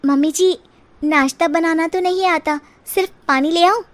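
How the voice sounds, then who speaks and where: A woman's voice: extremely high pitch, normal speed, voice pushed up. A woman voicing a vain, pampered young daughter-in-law in a cartoon, with a coy, whining, self-admiring manner. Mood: coy, whispering.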